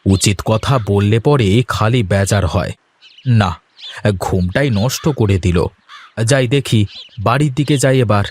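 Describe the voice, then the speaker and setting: A man's voice: fairly low pitch, a little slow, pauses often, a little mellow, slight background noise, close to an everyday voice. A man voicing an admonishing, plain-spoken village father in a cartoon. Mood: grumbling, accusing. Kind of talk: cartoon dialogue acting.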